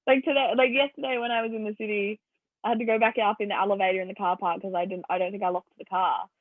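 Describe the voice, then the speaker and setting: A young woman co-hosting a podcast, chatting casually with quick, giggly reactions. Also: medium pitch, steady tone, no echo. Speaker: podcast co-host. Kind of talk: casual chat. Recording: thin phone-like sound, dry.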